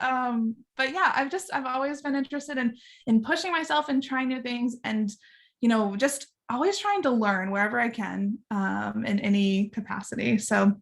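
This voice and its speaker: A woman's voice: a little high, gravelly, fairly bright tone. A woman, a learning designer, chatting with students in a bubbly, chatty way and laughing often. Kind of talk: casual class chat with students.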